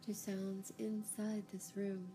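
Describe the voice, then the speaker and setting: A woman guiding a yoga nidra relaxation, giving calm, unhurried, soothing instructions with pauses. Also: mid-range voice, noisy background. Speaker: yoga nidra meditation guide. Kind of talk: guided relaxation meditation, slow instructions.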